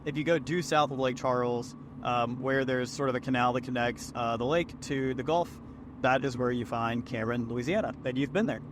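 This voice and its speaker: A man's voice: mid-range voice, brisk. A man co-hosting a casual podcast from a moving car, trading jokes with his partner and reading off funding figures. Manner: joking, reading figures off notes. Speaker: podcast co-host. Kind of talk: casual chat on a road trip. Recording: road noise from a moving car.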